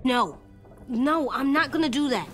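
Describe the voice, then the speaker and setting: A woman playing an anxious, stubborn young boy in a dramatic video game, speaking earnestly in halting, childlike phrases. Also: sits high, lively intonation, soft background music. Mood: pleading, defiant.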